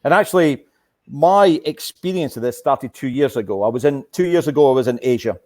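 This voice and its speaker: A businessman dialed in to an online panel, chatting casually in an average-pitched voice as he answers the host. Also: expressive, a little fast, darkish tone. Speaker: corporate sponsor guest, oil and gas executive. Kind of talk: casual interview talk.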